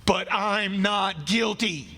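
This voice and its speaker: A man's voice: high-pitched, slow, bright, forceful tone, exaggerated voice. An actor playing a condemned man's desperate, bitter soul in an old-time radio play, agitated and stammering. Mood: pleading, indignant. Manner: agitated, stammering, repeating himself. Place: on stage in a hall. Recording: echoey stage theatre with long reverb.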